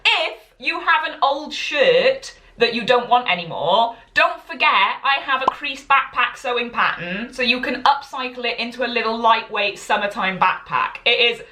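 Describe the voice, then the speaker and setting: A woman's voice: on the high side, lively intonation, moderate pace, few pauses, sharp tone. A woman with a Welsh accent, giving a chatty live tutorial on sewing from her home, friendly and prone to tangents. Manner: friendly and encouraging. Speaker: sewing teacher. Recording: echoey room.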